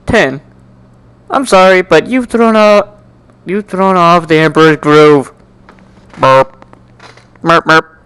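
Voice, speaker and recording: High-voiced man giving an animated story reading. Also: slow, long pauses, clean tone, dry recording. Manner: animated, jokey asides, stumbles and repeats words. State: coughing, slightly sick. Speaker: amateur fan fiction reader. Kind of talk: dramatic reading of a first-person story.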